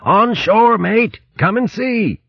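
A man's voice: mid-range voice, sing-song, normal speed, slightly rough, lo-fi sound, pitched above the performer's own voice. A man voicing a trusting, hearty mouse sailor in a storybook, speaking in earnest, plain seafaring talk. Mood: urgent alarm.

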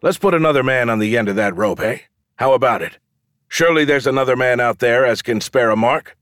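A man voicing a brash, foul-mouthed male showman who loudly goads a crowd into wagers in an audiobook. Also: mid-range voice, fairly quick, no echo, clean studio sound, natural voice. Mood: goading. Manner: loud, goading, declamatory calling to a crowd. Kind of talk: audiobook character dialogue.

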